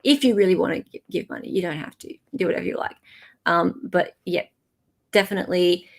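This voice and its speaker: Creaky-voiced Australian woman, casual streamer. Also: lowish voice, fairly quick. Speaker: livestream host. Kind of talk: casual livestream chat.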